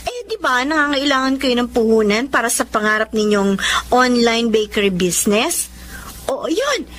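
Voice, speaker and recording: A woman's voice: a little high, expressive, normal speed, fairly bright tone. A woman with a Filipino accent playing a devoted, caring young mother in a radio drama. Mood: happy, excited. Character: devoted young mother, resigned yet caring estranged wife. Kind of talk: Tagalog radio drama acting. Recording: music behind the voice.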